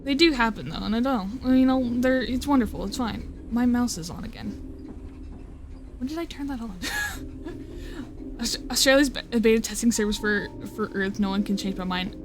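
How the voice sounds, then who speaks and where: A young woman with a slightly high voice chats loosely on a live stream. She rambles, swears freely and keeps breaking off her own sentences. Faint music plays behind her. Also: normal speed, long pauses. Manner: rambling, swearing, with self-interruptions and laughs. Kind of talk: casual live-stream chat.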